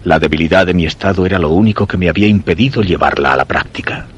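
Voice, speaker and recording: An actor playing an ailing, suspicious husband who narrates his macabre tale in a Spanish radio drama, measured and literary, with a Castilian accent. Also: low voice, highs cut off, a little hiss or hum, lightly acted. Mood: brooding, uneasy. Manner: measured, literary, brooding delivery.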